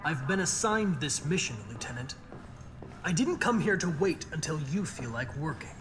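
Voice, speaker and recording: An actor playing a polite, eager android police detective in a video game, speaking in formal, measured phrases. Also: male voice, fairly high pitch, big pitch swings, long reverb tail, background noise. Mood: firm. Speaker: police investigator.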